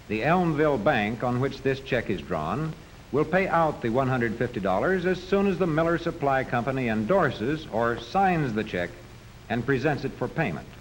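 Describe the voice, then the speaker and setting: A man narrating a 1940s educational film from a script, explaining step by step in a didactic manner. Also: medium pitch. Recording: noisy 1940s film soundtrack.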